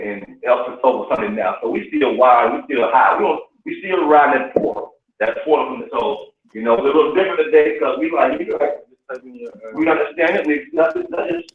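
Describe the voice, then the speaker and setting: A man's voice: mid-range voice, slight background noise. A man in casual group discussion on a remote call, rambling, repeating himself and swearing. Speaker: podcast participant. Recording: distant, echoey, phone-like line.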